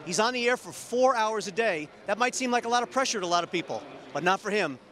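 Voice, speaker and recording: Guy reporter doing scripted news voiceover, pitch a bit on the high side. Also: animated, quick pace, a bit bright.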